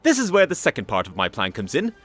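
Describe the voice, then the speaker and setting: Actor as a cocky, manic adventurer stallion, very animated. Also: mid-range voice, fast talker, no room sound. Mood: brisk, urgent. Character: cocky, flippant, manic male alien-hunting adventurer stallion. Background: music.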